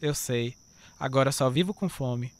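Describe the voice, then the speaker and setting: A woman's voice: extremely low pitch, measured pace. A woman with a Brazilian accent acting a resigned, protective, hungry older orphan brother in a radio drama.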